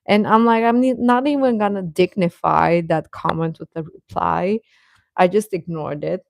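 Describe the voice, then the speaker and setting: A woman hosting a casual fan livestream, rambling and halting as she replies to comments, often with a chuckle in her talk. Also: on the low side, vocal fry, no room sound, studio quality. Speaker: host of a K-pop fan channel.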